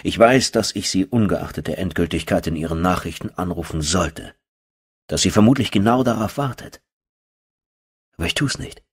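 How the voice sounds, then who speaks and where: Deep, husky male audiobook narrator. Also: lively intonation, moderate pace. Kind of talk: first-person horror audiobook narration.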